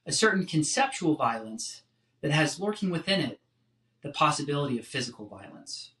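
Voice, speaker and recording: Bright-voiced male lecturer, roomy sound. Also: on the high side, very animated. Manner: measured, articulate, emphatic. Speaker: professor, lecturer. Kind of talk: scripted philosophy lecture narration.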